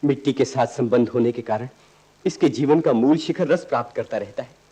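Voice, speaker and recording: A man's voice: medium pitch, brisk, warm tone, husky, lightly acted. A male actor playing an impassioned, stubborn young idealist in a radio play, with earnest, oratorical delivery. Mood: fervent. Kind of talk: Hindi radio play acting.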